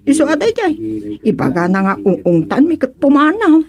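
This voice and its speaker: An actress playing an exasperated older sister in a radio serial, plaintive and scolding, with a Filipino accent. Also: female voice, sits high, sing-song, moderate pace, crowd noise. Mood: worried. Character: exasperated older sister who peddles goods, fed up with brother. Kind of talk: radio serial drama acting.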